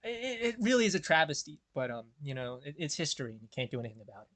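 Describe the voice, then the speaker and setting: An earnest young man with an American accent talks casually, wandering off and restarting his sentences often. His voice sits a little high for a man. The recording is dry, with no room sound. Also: very animated, racing, a bit bright, no room sound. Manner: rambling, many restarts and fillers, earnest. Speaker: video creator. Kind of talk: casual rambling commentary.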